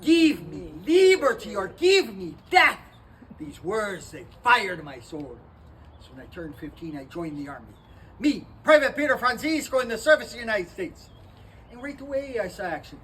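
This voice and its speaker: A man's voice: very animated, moderate pace. A man doing a boastful giant soldier. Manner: theatrical, playful storytelling, swinging from booming to hushed. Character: towering young Portuguese-born soldier, boastful, cheerful. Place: historic park. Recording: distant mic with outdoor ambient noise.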